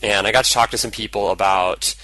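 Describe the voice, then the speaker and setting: A man talks on his own podcast, his voice a bit hoarse from a cold. The tone sits low but sounds bright and forward, and he rambles in a relaxed, upbeat way with a faint background hum. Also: a little fast, poor audio. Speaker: podcast host. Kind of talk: solo podcast monologue. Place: indoors, traveling.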